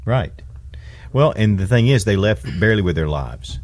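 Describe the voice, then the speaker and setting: A man with a very low, husky voice recounts a friend's story in a relaxed podcast chat. He leans into the quoted lines with earnest emphasis. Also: moderate pace.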